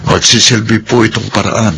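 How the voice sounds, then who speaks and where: A man's voice: on the low side, steady tone, normal speed, heavy background noise, close to an everyday voice. A man playing an earnest, forgiving grown son in a Filipino radio drama, respectful, with a Filipino accent.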